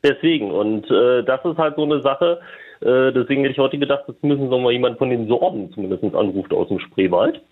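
A man's voice: mid-range voice, fairly quick. A man from Germany calling in to a show, rambling and hesitant with many fillers. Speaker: caller. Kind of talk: casual call-in chat. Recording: husky voice over a tinny phone line.

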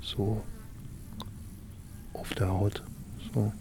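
Village man, easygoing, reassuring, colloquial, halting, low pitch, radio drama, faint insect-filled outdoor ambience. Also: level tone, creaky, noisy background, full character voice. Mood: curious, relaxed. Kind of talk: naturalistic radio play dialogue.

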